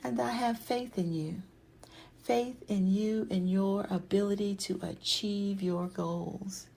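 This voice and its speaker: A woman leading a guided yoga nidra meditation in a soft, soothing, hushed voice that often trails into a breathy whisper. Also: medium pitch, fairly even intonation, slow, poor audio.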